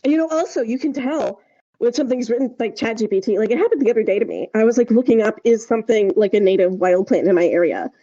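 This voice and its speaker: A woman's voice: on the high side, animated, fast, mellow, highs cut off. A woman chatting casually and emphatically as a podcast co-host, wry and discursive, with plenty of "like" along the way. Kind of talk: casual opinionated podcast chat.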